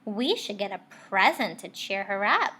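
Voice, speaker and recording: A woman's voice: mid-range voice, lively intonation, a little slow, pushed and bright, slight background noise. A woman reading the lines of fond, eager schoolchildren in a storybook, with earnest, emphatic simplicity.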